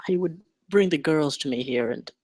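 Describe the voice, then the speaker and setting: A man acting an educated, melancholy doctor in a script table read, speaking in a formal, measured, articulate way. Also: fairly high pitch, darkish tone, no room sound, lightly acted. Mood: solemn, reminiscing. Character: educated melancholy male doctor, dreamy captive scientist.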